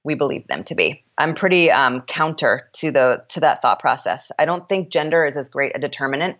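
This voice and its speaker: A woman with a deep voice speaking as an interview guest, reflective and candid, sometimes halting as she works out her ideas. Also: lively intonation, fairly bright tone, highs cut off.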